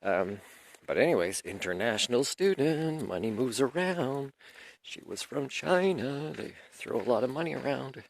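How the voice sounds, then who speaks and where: A man whose first language is French, giving a rambling, aggrieved unscripted monologue and clearing his throat now and then. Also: medium pitch, expressive, normal speed, no room sound. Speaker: video lecturer.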